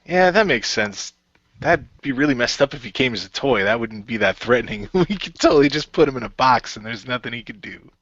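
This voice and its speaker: Man, casual playful delivery, animated pitch, radio play role-play, dry lo-fi recording. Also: brisk, dry recording. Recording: dry, dull lo-fi microphone.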